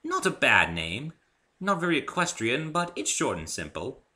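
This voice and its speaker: A man voicing a refined, gossipy female unicorn fashion designer in a story reading, posh and dramatic with drawn-out emphasis. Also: mid-range voice, very animated, pushed and bright, voice pushed up. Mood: proud, dreamy.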